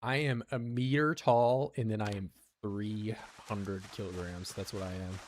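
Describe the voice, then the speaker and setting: A man's voice: lowish voice, steady tone, unhurried, a little hiss or hum. A young man streaming a survival game, rambling and joking with his chat in a casual way. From United States. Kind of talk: casual gameplay commentary.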